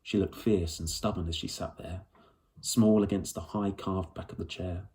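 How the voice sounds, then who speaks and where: A man with a fairly low voice reads a children's novel aloud. He narrates the scene as a storyteller would in an audiobook. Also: fairly even intonation, moderate pace, mellow. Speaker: audiobook reader.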